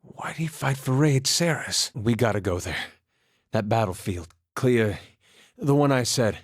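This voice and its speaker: An actor playing a wry, easygoing farmer and war veteran with a folksy, understated way of talking, in a video game. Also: male voice, medium pitch, lively intonation, unhurried, dry recording, studio quality. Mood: earnest, determined. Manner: folksy, understated, dryly humorous, drops words. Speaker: video game companion character. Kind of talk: video game voice acting, conversational dialogue.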